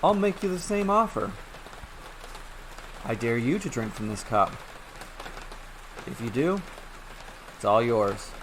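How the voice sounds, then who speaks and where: A man reads a calm, weary wanderer who speaks low and quiet, never rushing. The tone is measured and steady, with a faint hiss of rain noise behind it. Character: calm weary male traveller, cursed wanderer.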